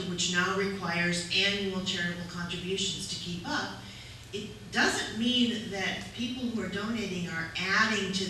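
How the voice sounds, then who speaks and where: A woman speaking as a city council candidate at a public forum, making an earnest, measured argument. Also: medium pitch, sharp tone. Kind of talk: forum answer to a question. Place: community center meeting room. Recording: echoey room from far off, faint noise.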